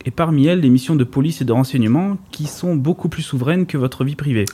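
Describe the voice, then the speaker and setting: A man's voice: fairly high pitch, fast, mellow, breathy edge. A man reading a prepared tech segment on a radio show, dropping dry, ironic asides.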